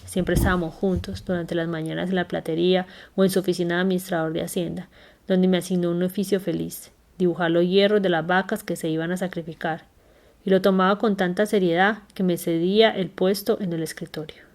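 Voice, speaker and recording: A woman reading a memoir aloud in an unhurried, careful way, now and then stumbling and correcting herself. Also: lowish voice, level tone, a little mellow. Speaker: reader.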